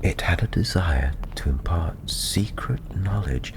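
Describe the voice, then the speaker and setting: A man softly telling a fantasy story in ASMR style, breathy and often near a whisper, gentle and unhurried with long pauses. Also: low voice, animated, background noise.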